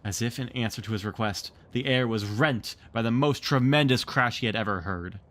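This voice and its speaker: A man narrating a storybook read-along with expressive, theatrical storytelling. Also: medium pitch, moderate pace. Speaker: streamer reading a book aloud.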